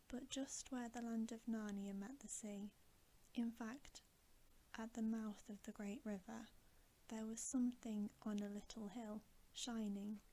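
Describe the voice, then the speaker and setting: A woman reading a bedtime story as ASMR in a soft, breathy whisper, gentle and soothing. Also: a little high, poor audio.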